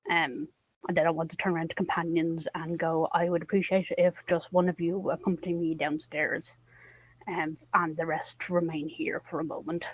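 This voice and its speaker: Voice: mid-range voice, little pitch movement, normal speed, rough recording. A player in a tabletop game, talking casually and politely with some hesitation.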